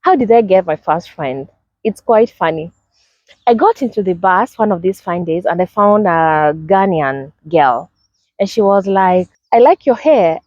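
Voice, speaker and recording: A young woman with a Kenyan accent talks straight to the camera, sharing her own experiences in a casual, lively way and playing out the greetings with sing-song bounce. The recording is dry, with a slightly dull, muffled top end. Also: lowish voice, measured pace, no room sound. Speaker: vlogger. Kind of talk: casual personal storytelling to camera.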